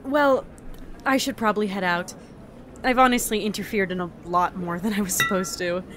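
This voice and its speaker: A woman playing an awkward, excitable otherworldly entity in an audio drama, hesitant and easily flustered. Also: mid-range voice, moderate pace, background noise, lightly acted. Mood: sheepish.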